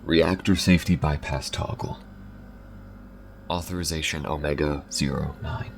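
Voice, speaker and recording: A man playing a gruff, devoted alien smuggler in an intimate audio roleplay, speaking haltingly, tenderly and often hushed, with a deep gravelly voice. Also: fairly even intonation, slight background noise, heavily acted. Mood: relieved, determined.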